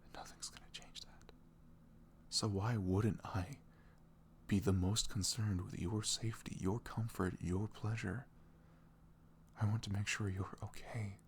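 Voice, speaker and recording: A man playing a sweet, caring boyfriend in an intimate ASMR roleplay, speaking softly and tenderly in a velvety, often breathy voice with earnest, rambling reassurance. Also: fairly low pitch, steady tone, unhurried, long pauses, a little hiss or hum. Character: sweet, caring, devoted young boyfriend talking in bed.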